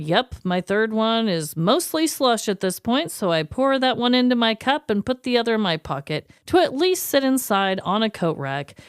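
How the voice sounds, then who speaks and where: Woman podcast co-host, smooth clear high voice, expressive, slangy, casual chat, dry close sound. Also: no echo. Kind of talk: casual podcast chat, reading listener stories.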